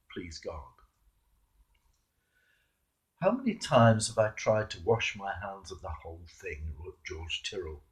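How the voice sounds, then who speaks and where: A man reading a devotional sermon as a clergyman, measured and earnest, with emphatic pauses. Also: mid-range voice, vocal fry, warm tone, rough recording. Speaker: Anglican clergyman, preacher. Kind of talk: devotional sermon reflection, read aloud.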